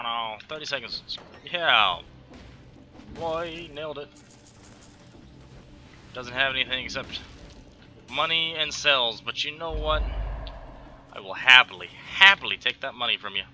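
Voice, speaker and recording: A man in his thirties from Texas with a light Texan accent, chatting through gameplay commentary on a headset, full of quick exclamations and talk to himself. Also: mid-range voice, bright, forceful tone, a little hiss or hum. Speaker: gaming channel host.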